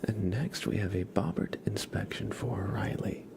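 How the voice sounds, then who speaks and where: A man hosting an ASMR livestream, speaking in a soft, soothing whisper and chatting gently to his viewers. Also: noisy background. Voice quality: whispered, breathy, with low creaky murmurs. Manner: soft, slow, soothing, greets viewers one by one.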